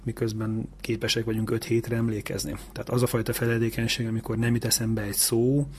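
A man's voice: mid-range voice, flat delivery, a little hiss or hum. A man giving structured, didactic explanations as a medical expert in an interview. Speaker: neurologist interviewee.

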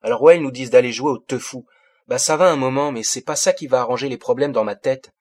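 A man's voice: medium pitch, fast talker, a little mellow, close to an everyday voice. A man reading an audiobook as an angry fifteen-year-old boy from the housing projects, speaking bitter street slang in agitated bursts. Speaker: audiobook reader. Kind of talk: first-person audiobook monologue.

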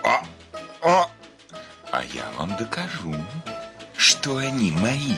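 A man's voice: mid-range voice, huge pitch swings, slow pace, pushed and bright, full character voice. An actor playing a touchy, hypochondriac young landowner in a radio farce, breathless, stammering and indignant, his voice cracking into squeals. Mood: outraged. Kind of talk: theatrical radio comedy acting. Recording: radio play with clear music behind.

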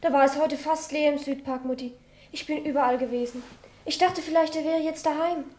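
Radio drama role: female performer as dutiful little daughter, high-pitched, plain childlike delivery, anxious. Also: fairly even intonation, brisk, a little mellow, natural voice. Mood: dejected. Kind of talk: German radio drama acting.

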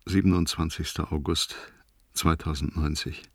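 A man's voice: very deep voice, steady tone, normal speed, dry recording. An actor playing a weary older crewman dictating a grave spaceship log in a radio play. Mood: grave, factual. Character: weary oldest crewman logging a spaceship emergency.

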